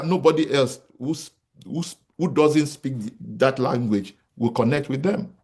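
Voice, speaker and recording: A Nigerian man in his forties, a company founder giving a motivational business talk to an audience, who repeats his key points and asks rhetorical questions. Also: mid-range voice. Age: 40s. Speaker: real estate company founder speaking to an audience.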